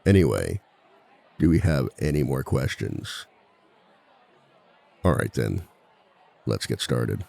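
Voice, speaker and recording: Very deep, gravelly villain lecturer, acted by a man. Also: animated, a little mellow, a faint crowd, heavily acted. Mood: confident, instructive. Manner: measured, polite, dryly authoritative. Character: composed, dry-witted male villain teaching a relationship seminar. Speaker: seminar instructor. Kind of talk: scripted ASMR roleplay monologue.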